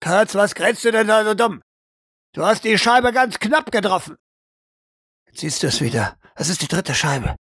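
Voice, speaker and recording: A man's voice: sits high, animated, unhurried, a bit bright, slightly rough, dry recording, studio quality, exaggerated voice. A man playing an intense military shooting instructor in an audio drama, talking in terse, commanding phrases. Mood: scolding. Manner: terse clipped commands, drawn out for emphasis.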